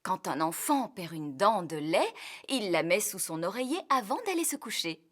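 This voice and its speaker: A woman voicing a bossy fairy nanny who works as a tooth fairy, brisk, theatrical and admonishing, in a dubbed children's cartoon. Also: mid-range voice, very animated, fairly bright tone. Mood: brisk, instructive.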